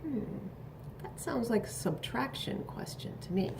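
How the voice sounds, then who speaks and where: A woman reading a picture book aloud to young children, expressive and instructive, pausing to ask questions and add asides. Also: on the high side, vocal fry, background noise. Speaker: storybook reader.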